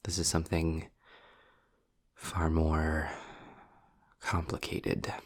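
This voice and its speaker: A man playing a sardonic, world-weary demon in an intimate roleplay audio drama, deliberate and breathy, often dropping toward a whisper. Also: rumbling low, completely flat delivery, exaggerated voice. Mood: grim, explaining. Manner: sarcastic, deliberate, sighing.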